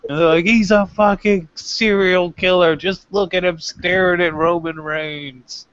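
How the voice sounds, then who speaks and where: A man's voice: sits high, steady tone, unhurried, a bit bright, clean tone, no room sound. Joking man, dry muffled audio. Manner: jokey, crude, acts out imagined quotes. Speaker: co-host of a wrestling review show. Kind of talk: casual joking chat.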